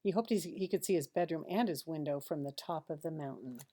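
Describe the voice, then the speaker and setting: A woman reads a children's picture book aloud like a teacher, expressively, with dramatic pauses and hushed asides. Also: sits low, a little hiss or hum.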